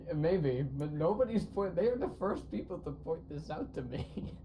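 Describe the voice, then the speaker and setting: A man's voice: on the high side, normal speed. Laughing young man, noisy mic. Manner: animated, laughs often, playful sarcasm. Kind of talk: casual chat while gaming. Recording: noisy stream mic audio.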